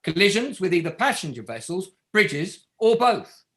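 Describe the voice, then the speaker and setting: Guy acting a pushy sardonic barrister in a courtroom play, high bright voice, pitch jumps all over. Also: sing-song, normal speed. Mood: methodical, accusing. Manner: pressing, pointed, sardonic questioning. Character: relentless barrister cross-examining a witness at an inquiry. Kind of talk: scripted courtroom drama table read.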